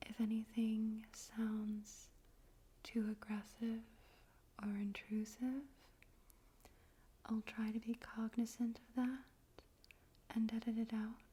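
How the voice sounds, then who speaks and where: A woman speaking in a soft, breathy whisper, gently and carefully, in an ASMR style for poetry reading. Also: fairly high pitch, monotone, takes it slowly, long pauses. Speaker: ASMR host and poetry reader. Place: room in a home in daytime.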